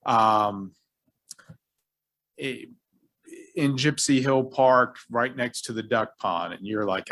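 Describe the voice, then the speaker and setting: A man's voice: mid-range voice, fairly bright tone. A man who is the city attorney, briefing a city council on legal rules and walking through hypothetical examples. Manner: explanatory, uses hypothetical examples. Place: council chamber.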